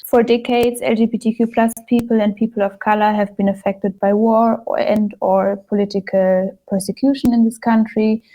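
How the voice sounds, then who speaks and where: A woman hosting a podcast, reading a steady, slightly halting introduction to her guest. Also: on the high side, low-quality recording. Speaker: podcast host.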